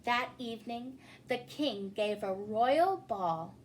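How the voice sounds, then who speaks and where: High, animated woman storyteller, unhurried. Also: rough recording. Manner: addresses young listeners with questions. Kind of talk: expressive children's read-aloud. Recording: faint background noise.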